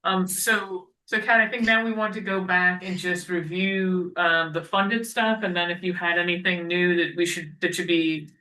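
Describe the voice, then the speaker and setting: A woman's voice: fairly low pitch, fairly even intonation, moderate pace, few pauses, pushed and bright. A woman chairing a committee meeting over a call, hesitant and trailing off with many fillers. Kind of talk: meeting discussion. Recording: echoey room, far from the mic.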